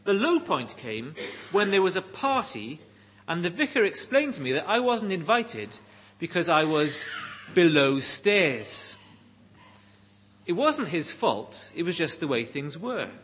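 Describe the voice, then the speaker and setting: A middle-aged man with a Welsh accent preaching a sermon in deliberate, emphatic phrases with pauses. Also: on the high side, big pitch swings, a bit bright, a little hiss or hum. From Wales.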